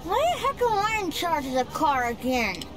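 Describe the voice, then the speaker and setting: A woman voicing a small, grumbling, proud talking cat creature in an animated game cutscene. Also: high-pitched, normal speed.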